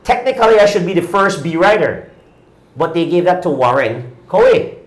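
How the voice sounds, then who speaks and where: Fired-up guy in his 50s on a cycling show, kinda high voice, roomy echo. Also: very animated, moderate pace. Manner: indignant, insistent, repeats points with rhetorical questions. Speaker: cycling podcast host. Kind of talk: opinionated talk-show rant.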